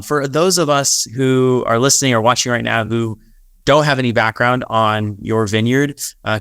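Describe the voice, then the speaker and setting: A man's voice: medium pitch, fairly bright tone, smooth, no room sound, clean studio sound. A man hosting a podcast interview in an easygoing, conversational manner. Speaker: podcast host. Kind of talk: casual interview.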